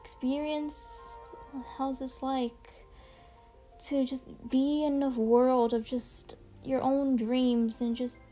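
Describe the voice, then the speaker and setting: A woman's voice: high voice, little pitch movement, slow pace, warm tone. A woman giving casual video commentary as she presents a ranking, gushing and emphatic, with casual swearing. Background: music under the voice.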